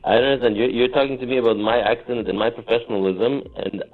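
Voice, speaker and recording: A man's voice: medium pitch, fast talker. A man on a phone call, defending himself in a restrained way and stammering over his words. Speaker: company owner taking a call. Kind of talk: tense phone argument. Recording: tinny phone line.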